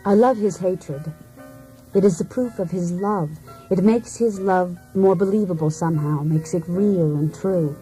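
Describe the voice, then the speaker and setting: Actress playing a mellow, low-voiced disillusioned wife. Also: female voice, close to an everyday voice, rough recording. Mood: cool, confessional. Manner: measured, introspective, theatrical delivery. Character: disillusioned, cool, self-searching wife in a failed marriage. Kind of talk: poetic monologue in a radio play. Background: music.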